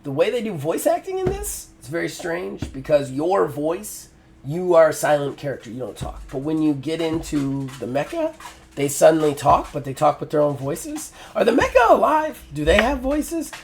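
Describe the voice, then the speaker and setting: A man's voice: fairly high pitch, sing-song, normal speed, vocal fry, a little hiss or hum. A middle-aged man giving a frank spoken game review, often exasperated, with lots of fillers and restarts.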